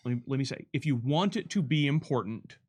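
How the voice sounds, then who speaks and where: A man speaking as a game developer in a casual commentary chat, agreeable and quick to affirm what is said. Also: medium pitch, animated, darkish tone, husky. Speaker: game developer reading out and answering viewer questions.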